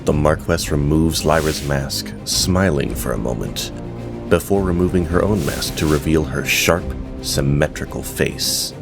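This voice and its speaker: A man's voice: a bass voice, a little slow, vocal fry, over music, full character voice. A man playing a solemn storyteller, giving dramatic scripted narration for a fantasy audio drama. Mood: tense, dramatic.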